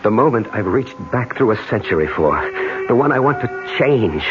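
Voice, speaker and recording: A man's voice: mid-range voice, very animated, normal speed, loud music behind the voice, natural voice. An actor playing an obsessed, brooding man in an intense, theatrical style of 1970s radio drama, both in dialogue and first-person narration. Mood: grim resolve. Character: obsessed, brooding man haunted by jealousy and past lives. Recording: dull, noisy 1970s radio broadcast.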